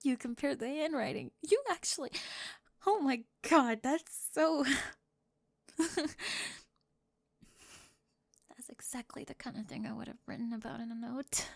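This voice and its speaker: A woman voicing a flustered teenage cheerleader bully with a secret crush, stammering and slipping into breathy half-whispers in an ASMR roleplay. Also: high-pitched, animated, moderate pace, dry recording, studio quality. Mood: flustered disbelief.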